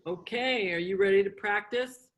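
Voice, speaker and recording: A woman's voice: mid-range voice, moderate pace. A middle-aged woman giving an informal beginner lesson, explaining in short, simple steps with repetition and questions to check in. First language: English. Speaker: sign language interpreter and instructor.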